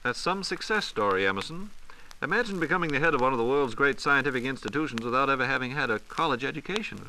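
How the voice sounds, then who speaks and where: American guy, old 1940s radio sidekick asking stuff, talks quick, sharp bright voice, kinda folksy. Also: medium pitch, animated, low-quality recording. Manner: folksy, prompting interjections. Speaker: radio co-host asking questions. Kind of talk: 1940s scripted radio dialogue.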